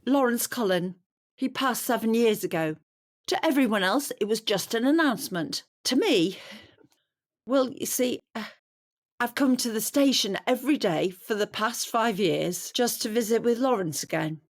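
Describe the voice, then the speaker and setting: A woman's voice: a little high, expressive, normal speed. A woman playing a stubborn, grieving older widow in an audio drama, speaking formally and precisely with insistent dignity. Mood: earnest, bereaved.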